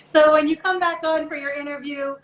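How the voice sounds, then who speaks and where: A woman's voice: high voice, fairly even intonation, a little fast, a bit bright. A woman hosting a chatty live online interview in a playful, teasing, enthusiastic way. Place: indoor room, online video call. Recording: echoey room with background noise.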